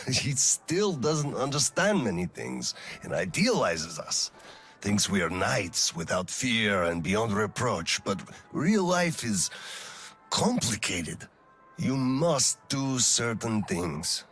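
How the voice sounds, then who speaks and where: Slow-spoken, weary, thoughtful colonel. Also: male voice, on the low side, sing-song, fairly bright tone, breathy edge, faint music behind, heavily acted. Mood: troubled, pensive. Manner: reflective, measured, hesitant. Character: weary, conscientious male colonel and lone father. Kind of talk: dramatic video game cutscene acting.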